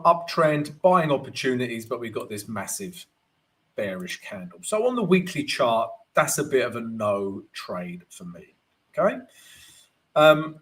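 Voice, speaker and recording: A man with a gravelly voice today, hosting a live trading stream and walking viewers through charts. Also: mid-range voice. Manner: animated, keeps asking "right?", greets chat viewers. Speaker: forex trading livestream host.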